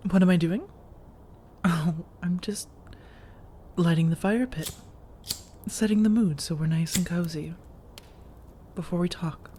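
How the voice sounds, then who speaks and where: A woman playing a seductive, teasing vampire roommate in soft-spoken ASMR roleplay, coaxing and reassuring, often drifting into whispers. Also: on the low side, measured pace, long pauses, a little hiss or hum, natural voice. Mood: flirty, teasing.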